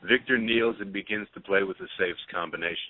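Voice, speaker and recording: Low-voiced male narrator, phone-like line. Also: fast talker. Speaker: narrator reading stage directions. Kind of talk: scripted narration.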